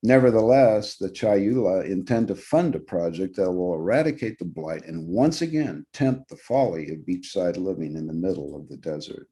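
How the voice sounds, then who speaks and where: A man, an actor, reads a literary short story aloud in the first person, with measured, dramatic phrasing. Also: deep voice, lively intonation, dark tone.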